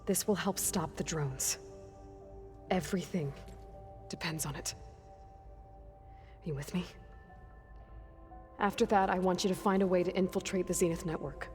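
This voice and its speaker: A woman's voice: lowish voice, steady tone, normal speed, pauses often, darkish tone, in a large hall, soft background music, close to an everyday voice. A woman playing a determined young warrior leader in a game cutscene, earnest and commanding. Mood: serious, urgent. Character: determined young female warrior leading a rescue mission. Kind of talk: video game cutscene acting.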